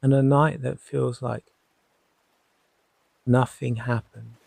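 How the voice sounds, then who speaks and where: A man speaks as a hypnotherapist leading listeners toward sleep. His voice is mellow and creaky, in a dry, close recording. He is reassuring and unhurried, letting each short phrase settle before the next. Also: medium pitch, little pitch movement, dry recording. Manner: soothing, unhurried, gently reassuring, with long pauses. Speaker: hypnotherapist guiding a sleep session. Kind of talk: guided sleep hypnosis narration.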